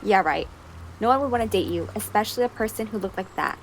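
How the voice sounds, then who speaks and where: A woman's voice: mid-range voice, fast, natural voice, low-quality recording. The sarcastic teen you'd hear grumbling across a kitchen in a radio play, dry and slangy, over faint noise; a woman acts it. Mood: irritated. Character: sarcastic, grumpy teenage girl, stubborn homebody. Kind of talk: naturalistic audio drama acting.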